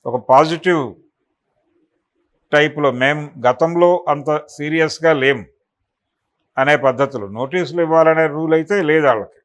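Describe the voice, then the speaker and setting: A man's voice: a little high, moderate pace, long pauses, a bit bright. A man giving extended spoken news analysis as a political commentator, explaining and recounting in detail.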